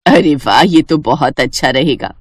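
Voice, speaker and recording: A woman's voice: sits low, animated, measured pace, husky, dry recording, studio quality, pitched below the performer's own voice, full character voice. A woman voicing a doting middle-aged Indian mother who coaxes and fondly scolds in a moral story. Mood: persuading. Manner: coaxing, affectionate, mock-scolding. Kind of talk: animated Hindi moral story dialogue.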